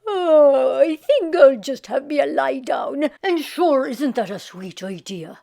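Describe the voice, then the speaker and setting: A woman's voice: high voice, animated, takes it slowly, gravelly, warm tone, heavily acted. A woman voicing a lazy Irish husband in a storybook, drowsy, blustering and sweet-talking in a folksy, self-justifying way. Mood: weary, contented.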